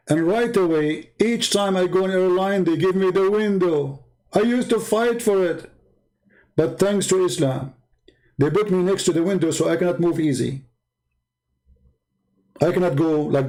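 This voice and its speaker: Higher-pitched, sarcastic Arabic-accented man. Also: mellow, poor audio. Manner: sarcastic, halting with repetitions, acting out dialogue. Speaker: live show host. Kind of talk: casual, joking storytelling monologue.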